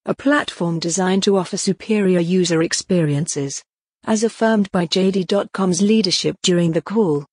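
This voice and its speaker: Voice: medium pitch, normal speed, slightly rough, dry recording, studio quality. A podcast narrator reading a scripted business report.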